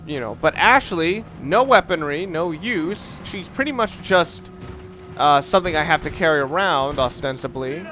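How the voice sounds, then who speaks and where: A man giving casual, opinionated gameplay commentary, rambling and halting as he goes, with casual swearing. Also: fairly high pitch, animated, bright, forceful tone, a little hiss or hum. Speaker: let's play gamer commentator.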